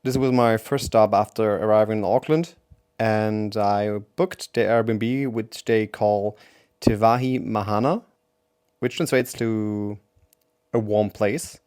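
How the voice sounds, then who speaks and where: Smooth low-voiced German man hosting. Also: fairly even intonation, takes it slowly, a little mellow. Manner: hesitant, searching for words with many fillers. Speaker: podcast host. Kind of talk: casual interview.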